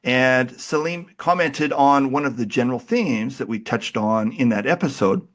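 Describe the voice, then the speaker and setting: A man hosting a podcast while he has a cold, talking in a relaxed, chatty and self-deprecating way. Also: mid-range voice, moderate pace. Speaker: podcast host. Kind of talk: casual interview chat.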